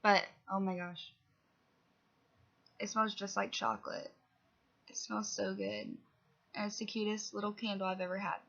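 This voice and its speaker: A young woman with a bright, gravelly edge to her voice rambles through a casual vlog update, sniffling from a cold. She strings her thoughts together with fillers and little asides. The audio is dull and lo-fi, as if recorded at home on basic gear. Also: mid-range voice, fairly even intonation, moderate pace, long pauses. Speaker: vlogger. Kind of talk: casual update chat to camera.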